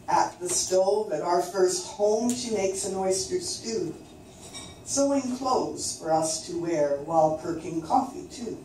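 An older woman from coastal British Columbia reading her own poems aloud in a slow, measured recitation at an open mic in a small venue. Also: on the low side, dark tone. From coastal British Columbia, Canada. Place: small dim performance venue, indoors. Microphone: far camera. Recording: very echoey room, distant, faint noise.

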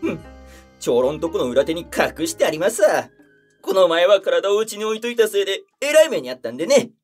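A cheeky young flying-head goblin, whines in a high, swooping voice. He is a slangy, panicky junior sidekick in a fantasy comedy drama, with faint music underneath. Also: sing-song, a little fast.

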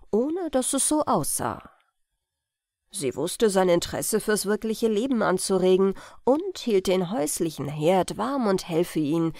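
A woman narrates an audiobook story with careful phrasing and a very lively, swinging melody. Her voice sits at a middle pitch. The sound is dry and close, with no room around it. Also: dry recording. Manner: expressive, carefully phrased read-aloud. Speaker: audiobook reader. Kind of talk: scripted storytelling narration of old family tale.

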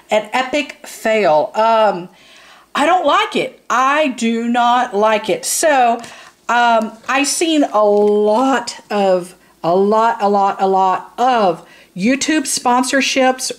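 High-voiced woman, blunt and rambling. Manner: rambling, repeats herself for emphasis; blunt, informal. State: tired. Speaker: product reviewer and hairstylist. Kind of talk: casual, unscripted product review.

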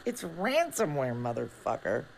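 A man's voice: on the high side, huge pitch swings, measured pace, gravelly, slight background noise, full character voice, low-quality recording. A man playing a smug, foul-mouthed rival hacker in a video game, gloating and sneering. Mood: smug, taunting. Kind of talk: video game cutscene acting.

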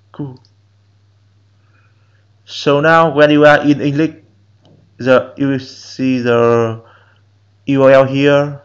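A man whose first language is Vietnamese, giving halting step-by-step instructions in a screen-recorded software tutorial. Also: mid-range voice, muffled recording. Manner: slow, halting step-by-step instructions in non-native English. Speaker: programming instructor.

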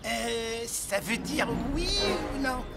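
An actor voicing a scrappy, anxious little animal adventurer in a French cartoon dub, excitable and doubtful. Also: mid-range voice, takes it slowly, gravelly. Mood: nervous. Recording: loud music behind.

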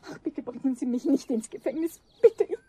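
A woman's voice: sits high, animated, fairly quick, dark tone, no echo. An actress playing a flustered, pleading woman caught stealing, in a 1970s radio play. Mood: pleading, frightened. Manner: nervous, pleading, stammering. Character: flustered middle-aged woman caught thieving.

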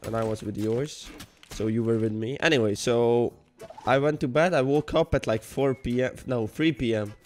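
Young male streamer, Hungarian accent, mid-pitched, loose rambling chat with sudden yells, soft music behind. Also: normal speed, few pauses. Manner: rambling, joking, reads chat messages aloud, sudden excited yells. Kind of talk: casual gaming livestream chat. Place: home room.

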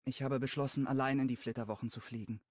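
A man's voice: medium pitch, fairly even intonation, fast, no echo, clean studio sound, voice pushed up, natural voice. A male audiobook reader voicing a friendly, chatty young woman, raising his voice for her lines. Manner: raised, bright-sounding delivery. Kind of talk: audiobook dialogue reading.

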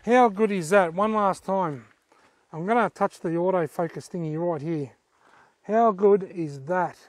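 High-pitched, enthusiastic Australian man. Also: measured pace, no room sound. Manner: effusive, enthusiastic. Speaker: fishing vlogger, host. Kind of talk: casual vlog talk to camera. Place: outdoors on a dirt track in bushland.

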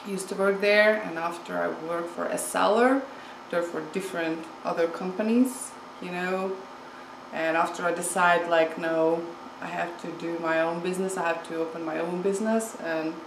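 A woman in her forties from Hungary with a strong Hungarian accent, answering interview questions in a halting way with many fillers, recorded indoors at home on a lapel mic. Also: fairly low pitch, roomy sound, noisy background. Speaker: home microgreens grower. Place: home room with window, indoors.

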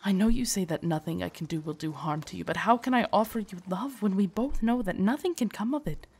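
A woman's voice: mid-range voice, fairly quick, darkish tone, breathy edge. A woman playing a devoted, earnest female knight in an audio drama, speaking in a formal, courtly way that turns hesitant and shy. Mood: troubled, protective. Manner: formal, courtly, hesitant and stammering when flustered. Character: devoted female knight, tender, earnest, shyly lovestruck royal guard. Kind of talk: roleplay audio drama, intimate scripted monologue.

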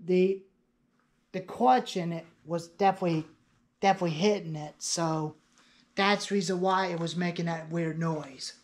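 A woman's voice: lowish voice, steady tone, moderate pace, very noisy. Casual woman talking through garage tinkering. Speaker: small engine hobbyist presenting own chainsaws. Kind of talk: casual hands-on show-and-tell. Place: cluttered metal-walled garage workshop.

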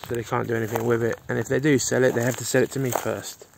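Gravelly man giving a walking tour. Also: mid-range voice, level tone, fast, noisy background. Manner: chatty, pointing things out as he walks. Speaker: vlogger giving a property tour. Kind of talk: casual walkthrough narration. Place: outdoors on rural land, then inside a farmhouse. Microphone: phone camera held at arm's length.

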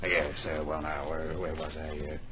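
An actor playing an absent-minded, excitable publisher in an old radio drama, muttering, hemming and repeating his phrases. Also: extremely low pitch, normal speed. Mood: distracted, muttering. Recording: muffled, noisy old radio recording.

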